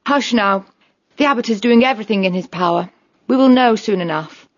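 A woman voicing a brisk, commanding female otter who gives firm orders in a dramatised storybook. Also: mid-range voice, lightly acted. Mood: soothing. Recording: slightly dull lo-fi audio.

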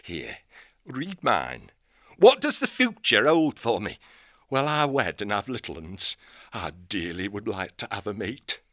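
An actor playing an excitable, forgetful male owl with a Northern English dialect and old-fashioned folksy speech in an audiobook drama. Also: on the low side, measured pace, no echo, clean studio sound, full character voice. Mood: excited.

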